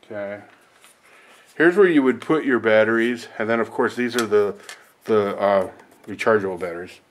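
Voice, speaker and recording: A man's voice: fairly low pitch, normal speed, slight background noise. A man giving a casual, rambling, self-deprecating unboxing and review as the host of a video.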